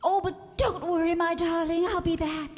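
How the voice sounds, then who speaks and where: Woman as very high, dreamy lovestruck carrot girl. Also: fairly even intonation, moderate pace. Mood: tender. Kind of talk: stage radio drama acting. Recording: reverberant hall, stage performance.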